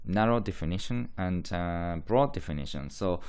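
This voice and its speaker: A man's voice: low-pitched, expressive, moderate pace, a little mellow, clean tone. A man with a Japanese accent, a native Japanese speaker, giving a lecture that explains terms, hesitantly repeating and restating key phrases. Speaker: university lecturer in linguistics.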